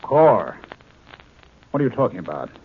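An alarmed, questioning scientist. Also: male voice, lowish voice, big pitch swings, moderate pace. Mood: urgent. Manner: urgent, incredulous questioning. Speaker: scientist captive. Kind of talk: 1950s radio drama acting. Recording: dull old broadcast recording, faint hiss.

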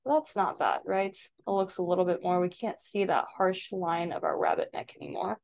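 A woman with a creaky voice, an art teacher casually explaining software step by step in a tutorial voice-over. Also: on the low side, moderate pace. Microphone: screen-recording voice-over. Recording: dull, lo-fi voice-over.

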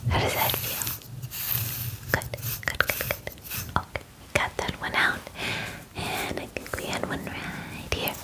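A woman in her twenties speaking in a soft, breathy whisper close to the mic, gently and soothingly playing an attentive spa groomer in an ASMR roleplay, often repeating words. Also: measured pace, low-quality recording. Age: 20s. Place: small dim room, indoors. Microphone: very close microphone, facing camera.

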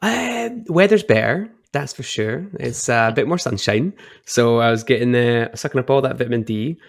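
Gravelly Scottish young man, relaxed. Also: mid-range voice, normal speed, no echo. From Scotland, Edinburgh. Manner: chatty, relaxed, laughs often. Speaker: guest, whisky brand ambassador. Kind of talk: casual storytelling chat.

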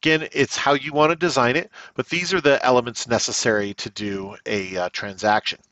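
A man giving an unscripted, explanatory software walkthrough in a conversational way, often checking in with the listener. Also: mid-range voice, fairly even intonation, brisk, dry recording. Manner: conversational, checks in with "okay?" and "all right?". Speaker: software tutorial presenter.

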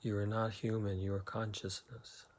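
A man leading a guided meditation, giving slow, soothing instructions with long pauses between phrases. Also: on the low side, flat delivery, darkish tone, slight background noise.

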